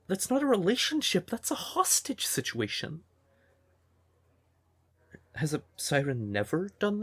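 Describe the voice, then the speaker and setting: A man playing a devoted, emotional siren boyfriend in an intimate fantasy ASMR audio roleplay, with a breathy, tender voice. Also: mid-range voice. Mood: annoyed, hurt. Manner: tender, unhurried, emotive, with pet names. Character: devoted male siren nightclub singer, affectionate boyfriend, easily exasperated.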